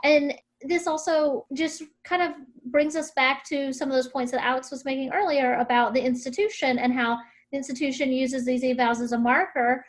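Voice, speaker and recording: A woman with a high voice hosts a podcast roundtable. She sums up what guests said in a thoughtful, measured way before passing the floor. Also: fairly even intonation. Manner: reflective, summarizing. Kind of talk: moderating a roundtable.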